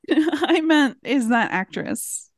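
Playful woman with very lively intonation. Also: high-pitched, very slow, dry recording, studio quality. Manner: playful, joking. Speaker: podcast co-host. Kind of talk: casual chat.

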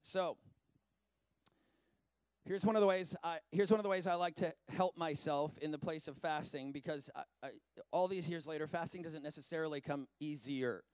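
A man in his forties preaches in a lively, chatty way, swinging from scripture reading into excited asides. His voice sits high and carries smooth, resonant. The sound is dry and close. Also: moderate pace, no room sound. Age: 40s. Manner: animated, conversational preaching with asides. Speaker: pastor. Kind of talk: sermon.

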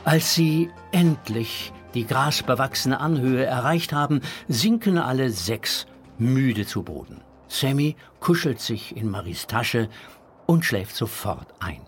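A man narrating a children's audio drama as a calm storyteller, reading scripted narration. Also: medium pitch, slow, soft background music.

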